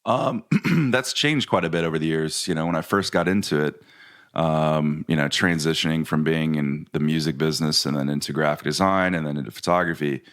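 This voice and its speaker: A man with a Kentucky accent and a very low, deep voice talks as a podcast guest. He is easygoing and laughs often, filling his talk with ums and restarts. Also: a little fast. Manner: relaxed, laughing, many fillers and restarts. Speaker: guest photographer. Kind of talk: casual podcast interview. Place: video call from home.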